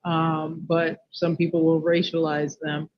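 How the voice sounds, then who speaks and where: A man's voice: fairly high pitch, monotone, measured pace. A man in a casual discussion, speaking in an earnest, insistent way. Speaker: live stream co-host. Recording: muffled, noisy lo-fi stream audio.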